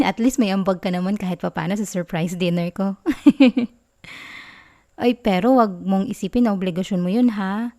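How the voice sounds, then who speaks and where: A doting young Filipino girlfriend acted by a woman in an ASMR roleplay. Her voice is soft and mellow, sitting at a medium pitch. She talks tenderly and coyly, bashful and giggly with affection. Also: normal speed, long pauses, natural voice. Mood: gentle, teasing.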